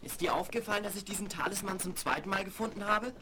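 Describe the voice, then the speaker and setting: A woman's voice: medium pitch, quick pace, bright, forceful tone, close to an everyday voice. A woman playing a thoughtful, practical adolescent boy in a seventies radio play on vinyl. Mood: excited, determined. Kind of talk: 1970s German radio play acting. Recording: crackly 1970s vinyl record.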